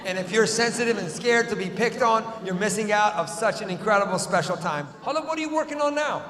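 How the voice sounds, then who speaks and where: A man doing stand-up comedy in a theater, teasing audience members and bursting into shouts. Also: high-pitched, expressive, fast talker. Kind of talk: stand-up crowd work. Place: large theater with live audience. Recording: echoey theater hall, loud crowd.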